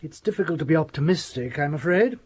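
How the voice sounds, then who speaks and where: An actor playing an imperious, sinister gentleman doctor in a radio drama, formal, measured and condescending. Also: on the high side, lively intonation, husky. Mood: cool courtesy.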